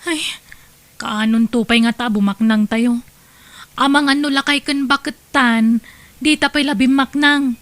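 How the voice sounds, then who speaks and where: A woman's voice: high-pitched, steady tone, unhurried, pauses often, fairly bright tone, dry recording. A woman with an Ilocano Filipino accent playing a stubborn, ambitious young wife and mother in an audio drama. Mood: insistent, impatient.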